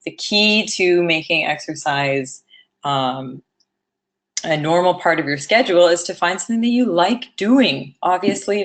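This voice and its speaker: A woman presenting a health webinar in a casual, conversational way, with a low, rather lethargic-sounding voice and frequent ums. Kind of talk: educational webinar talk.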